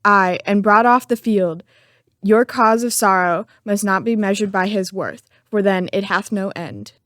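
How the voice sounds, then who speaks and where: A woman's voice: medium pitch, unhurried, fairly bright tone, dry recording, clean studio sound, natural voice. A woman playing a solemn, respectful thane in an amateur Shakespearean dramatic reading. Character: solemn, respectful thane bearing news of death. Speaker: amateur reader in library staff dramatic reading.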